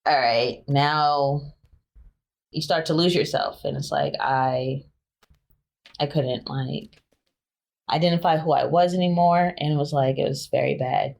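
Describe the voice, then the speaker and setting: A woman's voice: low-pitched, fairly even intonation, unhurried, gravelly, long pauses. Hesitant young woman, filler-heavy speech. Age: 20s. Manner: soft-spoken, many fillers, hesitant. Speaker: guest. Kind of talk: candid personal interview.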